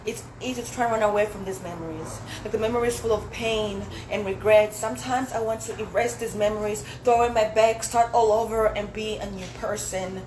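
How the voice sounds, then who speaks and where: A young woman from Zambia, a Swahili speaker, performing a reflective spoken word poem in a measured recitation at a dinner event. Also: mid-range voice, fairly even intonation, darkish tone. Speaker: poet. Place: indoor dinner event hall. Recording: roomy hall with background noise.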